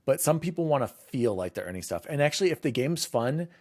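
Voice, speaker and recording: A man's voice: mid-range voice, sing-song, fast talker, darkish tone, no room sound. A man hosting a casual gaming podcast, talking in rambling bursts with frequent restarts. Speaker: podcast host.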